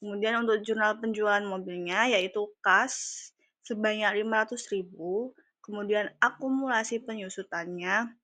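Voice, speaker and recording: A woman's voice: mid-range voice, moderate pace, pushed and bright, dry recording. A young woman, a student, walking through accounting calculations in a class presentation, talking hesitantly with fillers. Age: young adult.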